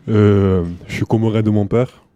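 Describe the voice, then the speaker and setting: A man introducing himself to a group as a basketball player, pausing often with fillers. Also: fairly low pitch, warm tone, no room sound, lo-fi sound. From France. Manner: hesitant, many fillers. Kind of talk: self-introduction.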